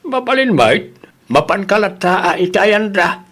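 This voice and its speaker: A man's voice: high voice, expressive, measured pace, a bit bright, heavily acted. A man with an Ilocano accent playing an easygoing, helpful father in a family audio drama.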